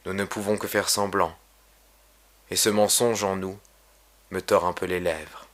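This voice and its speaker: A man reading his own poem aloud in a solemn, measured, literary recitation. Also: sits low, steady tone. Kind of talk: poetry recitation.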